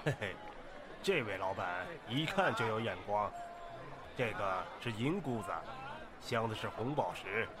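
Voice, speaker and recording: An actor playing a sly, flattering male trinket seller in a radio drama, with an oily salesman's manner. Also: on the low side, unhurried. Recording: noisy outdoor street background.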